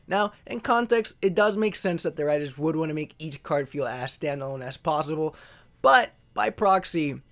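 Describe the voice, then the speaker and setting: A man's voice: on the high side, expressive, moderate pace, a bit bright. A man hosting an informal video introduction, wry, joking and self-deprecating. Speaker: host.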